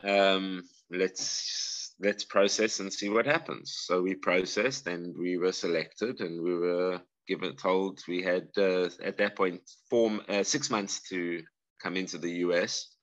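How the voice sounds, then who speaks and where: Low-voiced South African man, muffled sound. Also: steady tone, measured pace, a bit bright. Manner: earnest, explains at length with many restarts. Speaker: guest bladesmith. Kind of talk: casual interview chat.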